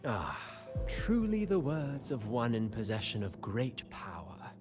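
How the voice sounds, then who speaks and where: A man acting a sly, mocking schemer and storyteller in a video game, speaking in florid, measured, theatrical lines. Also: medium pitch, huge pitch swings. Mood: mocking deference. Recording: voice over game music.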